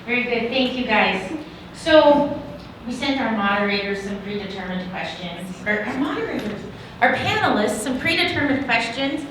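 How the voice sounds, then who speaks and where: A woman's voice: fairly high pitch, moderate pace, background noise. A woman moderating a conference panel in a chatty, joking, self-deprecating way. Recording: echoey hall, far from the mic.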